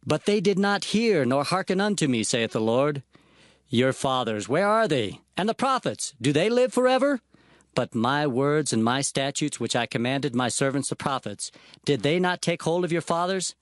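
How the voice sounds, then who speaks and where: An actor playing an earnest prophet, recounting visions and warnings in a fervent, declamatory dramatized scripture narration. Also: male voice, a little high, big pitch swings, moderate pace, no echo, clean studio sound. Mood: stern, fervent.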